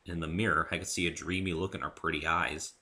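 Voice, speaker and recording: A man's voice: deep voice, fairly even intonation, fast talker, sharp tone. A man giving a dramatic amateur reading of his own story as narrator. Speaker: amateur reader of own fan fiction.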